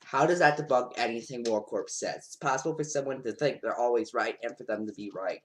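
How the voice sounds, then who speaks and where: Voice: mid-range voice, brisk, slight background noise. Sarcastic, rambling commentary voice. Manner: mocking, rambling, sarcastic asides.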